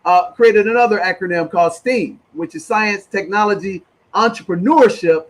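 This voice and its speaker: A man's voice: sits high, moderate pace, rough recording. A man hosting a conversational interview in an effusive way, peppering his talk with "man" and "brother". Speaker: interview host.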